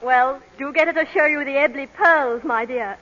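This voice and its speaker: A woman's voice: sits high, normal speed, a bit bright. An actress playing a gushing, mischievous, gossipy society lady in old radio drama style. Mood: gossipy, amused. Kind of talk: 1940s radio acting. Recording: muffled old radio broadcast.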